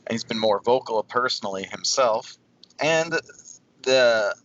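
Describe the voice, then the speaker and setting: A man with a lowish voice gives casual opinion commentary as a podcast co-host. The sound is a touch dull and lo-fi. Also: a bit bright, slight background noise.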